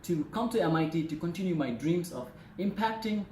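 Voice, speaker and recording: Young guy w nepali accent doing a formal student talk, slightly high voice, really echoey room. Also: animated, brisk, darkish tone. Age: 20s. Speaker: student speaker. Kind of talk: prepared personal presentation. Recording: echoey room with faint noise.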